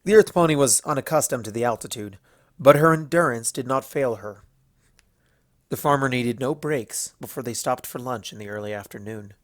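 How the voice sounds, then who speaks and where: A man's voice: mid-range voice, normal speed, no room sound. Dry-recorded man narrating a story.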